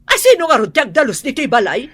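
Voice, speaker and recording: Sharp-tempered wife, raised pitch, raspy edge, lively swings, indignant manner, radio drama. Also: female voice, fast, no echo, full character voice. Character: demanding, sharp-tempered wife arguing with husband. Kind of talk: Ilocano radio drama acting.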